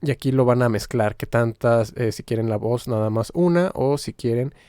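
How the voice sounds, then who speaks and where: A man giving an informal step-by-step software tutorial as the instructor, in a halting, explanatory manner. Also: medium pitch, clear, resonant tone, dry recording, clean studio sound, faint music behind.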